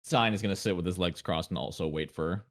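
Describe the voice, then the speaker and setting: A man's voice: deep voice, fast talker. A man playing a tabletop roleplaying game, talking casually and narrating his character's moves.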